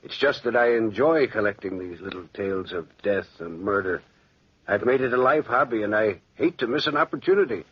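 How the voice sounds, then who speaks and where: An actor playing a talkative, morbidly curious gentleman neighbor in an old-time radio drama, with a husky, genteel delivery. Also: lowish voice, expressive, fairly quick. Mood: earnest, eager. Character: chatty, morbidly curious neighbor who collects murder tales. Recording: dull lo-fi vintage radio recording.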